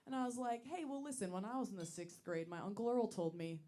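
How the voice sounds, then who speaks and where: Woman, late 20s, low voice, dry self-mocking stand-up delivery, lots of ums. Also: fast talker, background noise. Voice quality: deep voice for a woman. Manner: deadpan, self-deprecating, with many ums. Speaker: stand-up comedian. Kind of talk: stand-up set. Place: comedy club stage.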